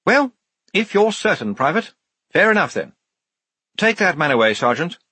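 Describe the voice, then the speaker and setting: Man as a brisk, high-pitched woman army major. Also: big pitch swings, bright, forceful tone, husky, dry recording, exaggerated voice. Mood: brisk, official.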